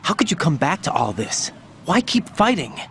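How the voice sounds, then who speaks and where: A man's voice: fairly high pitch, very animated, moderate pace, a bit bright, slight background noise. An actor playing a young special-forces agent in a video game, earnest and reluctant, questioning what he hears. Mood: earnest, doubting. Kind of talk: video game cutscene dialogue.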